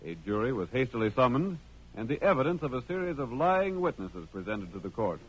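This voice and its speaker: An actor narrating a western adventure in an earnest, dramatic old-time radio style. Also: male voice, medium pitch, very animated, a little fast, husky, poor audio.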